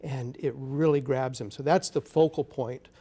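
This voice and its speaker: A man's voice: medium pitch, steady tone, normal speed, warm tone. A man speaking as an interview guest, an orchestra conductor explaining things enthusiastically in a TV studio. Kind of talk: live TV interview talk.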